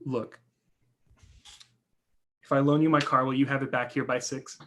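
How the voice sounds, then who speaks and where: A man's voice: mid-range voice, level tone, normal speed, sharp tone, muffled recording, lightly acted. An actor reading a stage play as an uptight, polite screenwriter brother, hesitant and guarded as he speaks. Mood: exasperated.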